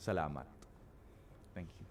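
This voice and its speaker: A man with a Filipino accent performing spoken word poetry as a guest, in deliberate, dramatic phrases with weighted pauses. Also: background noise. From Philippines.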